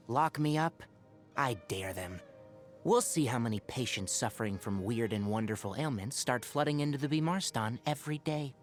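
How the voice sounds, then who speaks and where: A man's voice: mid-range voice, natural voice. A man voicing an earnest, wry young forest ranger scholar in a video game, speaking in an articulate, thoughtful, caring way. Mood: wry, dismissive. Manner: articulate, thoughtful, dryly opinionated. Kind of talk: scripted game character monologue.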